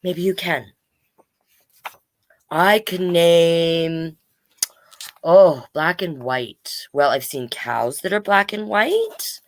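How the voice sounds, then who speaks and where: A woman's voice: on the low side, animated, drawn-out words, pauses often. A woman hosting a children's storytime livestream, chatting casually and reading aloud in a playful, rambling way.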